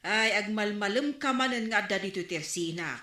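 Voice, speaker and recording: Casting: female actor as exclamatory, gossipy Ilocano storekeeper, crisp forceful tone, echoey room, comic drama. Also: mid-range voice, normal speed, lightly acted. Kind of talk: Ilocano radio comedy drama acting.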